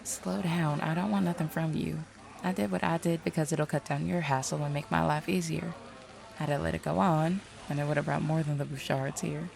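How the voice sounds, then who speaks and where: A woman's voice: sits low, steady tone, brisk, creaky, a little mellow, slight background noise. A woman playing a confident, streetwise supernatural detective in an audio roleplay, drawling folksy slang in a teasing way. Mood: blunt, knowing.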